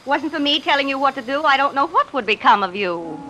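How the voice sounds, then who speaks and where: An actress playing a nagging, exasperated wife with a bossy, scolding manner in an old-time radio drama. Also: female voice, high-pitched, animated, fairly quick, over loud music. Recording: noisy vintage radio broadcast.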